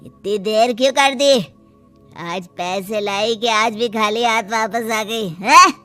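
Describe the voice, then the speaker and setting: A woman's voice: on the high side, slow, pushed and bright. A woman voicing a drunken, abusive, suspicious village husband in a cartoon tale, bullying and accusing. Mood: angry, threatening. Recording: music behind the voice.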